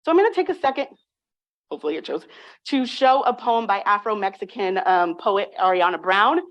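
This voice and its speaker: A woman lecturing on linguistics in a measured, articulate way, reading prepared remarks with emphatic phrasing. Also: medium pitch, lively intonation.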